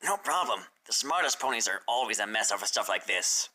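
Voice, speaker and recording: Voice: medium pitch, big pitch swings, a little fast, pushed and bright, voice pushed down. A performer voicing a cocky, defensive young male dragon assistant with a casual, self-important manner. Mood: reassuring.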